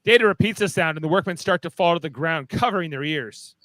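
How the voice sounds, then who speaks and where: A man's voice: fairly high pitch, expressive, fast, fairly bright tone, dry recording. A man narrating stage directions for a fan radio play in a casual, wry way.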